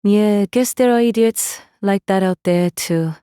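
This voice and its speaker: Woman playing a mellow, wry female mage. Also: mid-range voice, unhurried, clean tone, dry recording, clean studio sound, lightly acted. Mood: nonchalant. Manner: musing, sardonic, nonchalant. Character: cool, wry, self-assured adult female mage.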